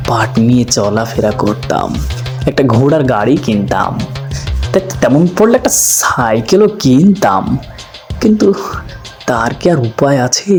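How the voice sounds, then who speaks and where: A lazy, poor cartoon villager, acted by a man, wandering at night. He rambles aloud to himself in a medium-pitched voice. His talk is a grumbling, complaining monologue. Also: a little mellow.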